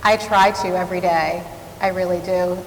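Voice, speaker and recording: A woman who is a senior news anchor, telling stories from her life as a panelist and acting out remembered conversations. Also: moderate pace. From New York. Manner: animated, acts out remembered exchanges, laughs easily. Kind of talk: panel discussion, personal storytelling. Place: large event hall. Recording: echoey hall, faint rumble.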